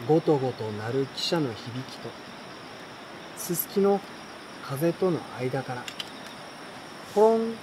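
A man with a fairly high voice reads a story aloud in a relaxed style. He pauses between phrases. Faint night insects and campfire noise sit behind him outdoors. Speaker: reader. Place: campsite outdoors by a fire and stream. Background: insects, crackling fire, stream.

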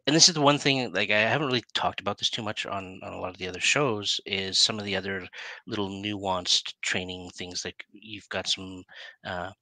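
A man's voice: sits low, normal speed, sharp tone, dry recording, low-quality recording. A middle-aged man hosting a casual interview over a headset, asking questions in a rambling, informal way. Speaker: interview show host. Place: plain indoor room, video call.

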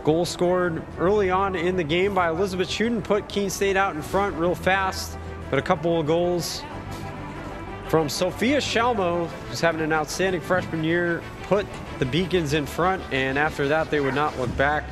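A man's voice: on the high side, moderate pace. Upbeat man sports broadcaster over music. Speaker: play-by-play broadcaster and interviewer. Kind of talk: sports broadcast, sponsor reads and wrap-up.

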